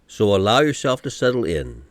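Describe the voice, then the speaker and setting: A man guiding a meditation from a script in a gentle, soothing, unhurried manner, pausing often between short phrases. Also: fairly low pitch, sing-song, no room sound. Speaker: meditation guide. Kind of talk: guided meditation, scripted narration.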